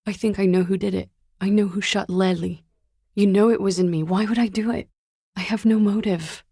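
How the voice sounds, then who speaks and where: An actress playing a weary, guarded young woman on the run in a video game, speaking in a hesitant, subdued, confessional way with a breathy, gravelly voice. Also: steady tone, normal speed, warm tone. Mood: pleading. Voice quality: low, breathy, husky and gravelly. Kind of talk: video game character dialogue, intimate and understated.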